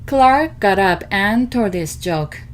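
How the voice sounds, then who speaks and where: A woman reading a picture book aloud to children in expressive narration. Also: mid-range voice, slow, slight background noise. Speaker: storybook reader.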